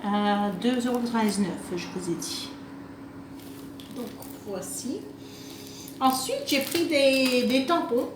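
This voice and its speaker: A woman's voice: fairly high pitch, slow, long pauses. A woman chatting casually and unscripted to camera, hesitant and rambling as she presents a craft shopping haul, recorded on a phone on a table. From France, half Italian. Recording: roomy echo, clearly noisy home recording.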